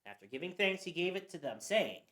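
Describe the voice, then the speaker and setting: A woman's voice: lowish voice, big pitch swings, fairly quick, fairly bright tone. A woman narrating scripture for a church passion play reading. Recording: roomy echo.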